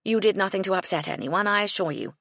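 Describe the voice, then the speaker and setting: A woman voicing a gentle, patient hotel owner, speaking in a soothing way. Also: mid-range voice, expressive, quick pace, no room sound, voice pushed up.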